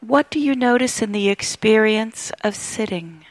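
A woman teaching meditation, giving gentle, unhurried guided instructions with long pauses between phrases. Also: fairly high pitch, no room sound, low-quality recording.

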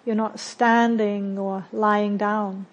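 A woman teaching meditation, guiding a body scan in a calm, gentle way with long pauses between short phrases. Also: medium pitch, muffled recording.

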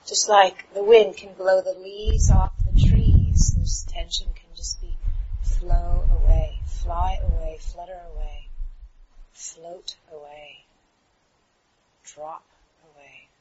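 A woman meditation teacher guiding a relaxation in a soothing, unhurried manner with trailing pauses. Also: fairly low pitch, fairly even intonation, mellow. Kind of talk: guided meditation. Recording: dull, muffled recording with clear background noise.

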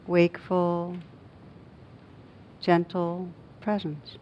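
A woman guiding a meditation as a teacher, speaking gently and unhurriedly in short phrases with long pauses. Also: completely flat delivery. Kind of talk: guided meditation. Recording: dull muffled recording with faint rumbling noise.

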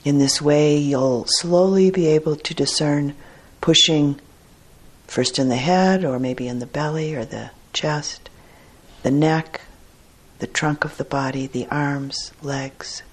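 A woman teacher guiding a meditation in a measured, deliberate way with long pauses and a husky, soft-edged voice. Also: low voice. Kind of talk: guided meditation instruction.

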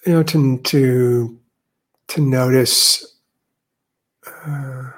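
A man leading a guided meditation as a teacher, speaking gently and unhurriedly with long pauses. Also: medium pitch, steady tone.

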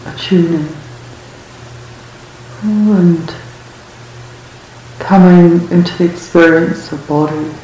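A woman leading a guided meditation as a teacher, speaking calmly and unhurriedly with long pauses between phrases. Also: fairly low pitch. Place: large room at a retreat center. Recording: very echoey room, faint hiss.

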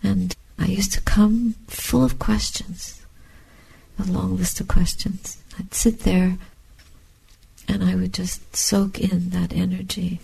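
Very husky, slow-spoken older woman. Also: lowish voice, little pitch movement, warm tone, low-quality recording. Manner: measured, contemplative, short phrases with long pauses. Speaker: Buddhist nun and meditation teacher. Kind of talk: reflective dharma talk and guided meditation.

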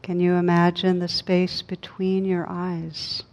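A woman leading a guided meditation as a teacher, speaking calmly and unhurriedly with long pauses. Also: on the low side. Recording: dull, lo-fi recording.

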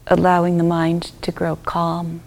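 A woman leading a guided meditation as a teacher, speaking gently and softly in short phrases with long pauses and breathy endings. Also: lowish voice, completely flat delivery. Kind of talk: guided loving-kindness meditation.

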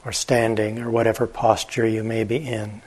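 A man teaching meditation, giving guided instructions gently and unhurriedly, with long pauses and a husky voice. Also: fairly low pitch, little pitch movement.

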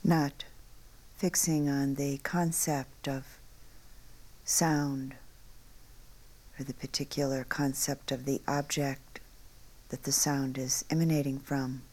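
A woman meditation teacher leading a guided meditation in short, unhurried phrases with long pauses, often hushed at the ends. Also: very deep voice, flat delivery.

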